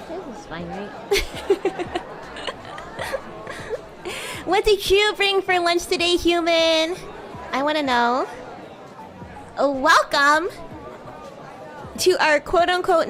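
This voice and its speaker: High, lively woman playing a bratty demon schoolgirl. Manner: playful, teasing, giggly. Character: bratty young female demon schoolgirl classmate. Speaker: VTuber streamer. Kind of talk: improvised roleplay livestream chat.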